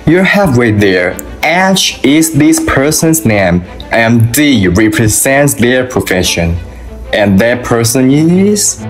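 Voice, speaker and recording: A man playing a shrewd, self-assured gentleman thief who investigates with knowing questions, in an amateur radio drama. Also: medium pitch, big pitch swings, slow pace. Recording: faint music behind the voice.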